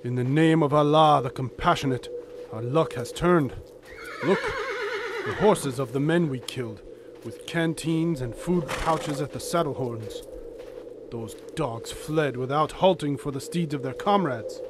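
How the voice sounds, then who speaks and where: High-voiced, devout desert adventurer's companion, played by a man. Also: unhurried, noisy background, natural voice. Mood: relieved. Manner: archaic, ornate, emphatic speech. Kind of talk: radio drama acting.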